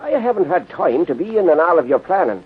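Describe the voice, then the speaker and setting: An actor playing a skeptical police sergeant in a 1930s radio drama, with an informal, questioning way of talking. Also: male voice, fairly high pitch, sing-song, fairly quick, slightly rough, full character voice. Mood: doubtful, questioning. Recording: muffled, lo-fi old radio recording.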